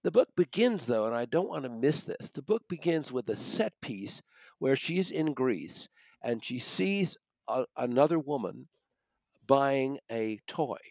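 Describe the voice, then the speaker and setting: A man with an American accent discussing books as a reviewer, halting and repeating words as he searches for phrasing. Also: medium pitch, animated, darkish tone, no echo, poor audio. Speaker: book reviewer, regular guest. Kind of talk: unscripted book discussion.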